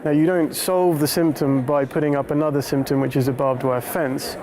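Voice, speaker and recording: A man making a deliberate, explanatory argument as a panelist in a debate. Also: fairly high pitch, steady tone, background noise.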